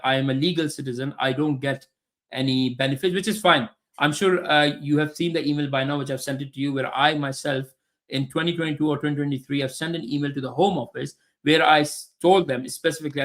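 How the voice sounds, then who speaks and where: A man from Pakistan telling his own story as a podcast guest, halting and repeating himself. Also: a little high, fairly bright tone. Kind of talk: conversational personal account.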